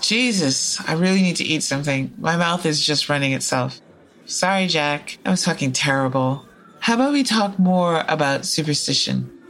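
Low-voiced, frank woman time traveler. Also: animated, normal speed, fairly bright tone. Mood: tipsy, candid. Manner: casual, wry, sweary. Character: frank, foul-mouthed woman time traveler searching for her lost partner. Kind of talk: audio drama dialogue and first-person narration.

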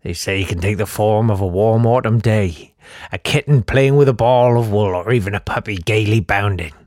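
A man's voice: lowish voice, moderate pace, darkish tone, no room sound, studio quality, natural voice. Man doing an anxious, superstitious village barkeep. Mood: scared warning. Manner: ominous, earnest warning.

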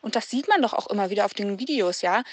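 A woman's voice: mid-range voice, expressive, fast talker, no echo, lightly acted. Audio drama role: woman playing a scornful, self-assured commenter, indignant, mid-range voice in a dry recording. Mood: dismissive, scornful. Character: dismissive, indignant woman commenting against climate protesters. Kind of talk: naturalistic audio drama monologue.

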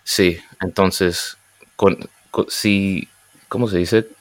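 Deep-voiced young man, halting and unsure. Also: steady tone, dry recording. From United States. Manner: hesitant, searches for words, mixes in English. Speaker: guest. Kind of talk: casual conversation.

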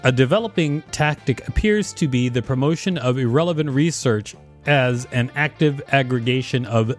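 A man co-hosting a podcast, reading an encyclopedia article aloud and commenting on it. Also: medium pitch. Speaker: podcast co-host.

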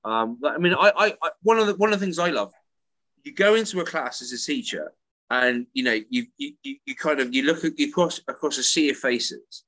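A man co-hosting a podcast and chatting casually, an animated storyteller who repeats words as he starts his sentences. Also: on the high side, brisk, no echo, rough recording. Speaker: podcast co-host and English teacher. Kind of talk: casual chat. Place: works in Italy.

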